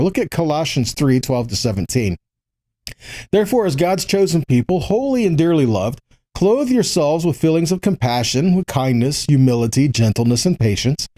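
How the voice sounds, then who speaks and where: Higher-pitched man teaching scripture, mellow tone. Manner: conversational, explanatory, frequent check-in questions to listeners. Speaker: religious teacher giving a weekly Torah portion teaching. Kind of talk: informal sermon with scripture reading.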